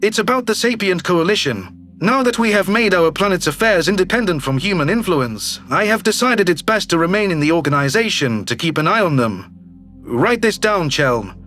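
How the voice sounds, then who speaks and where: A man's voice: sits high, animated, normal speed, a bit bright, faint music behind, pitched below the performer's own voice. A man voicing a smug, calculating alien governor, slick and self-satisfied, in a theatrical audio drama style. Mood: smug, commanding. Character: smug, calculating male alien politician, newly elected governor. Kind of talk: scripted sci-fi audio drama dialogue.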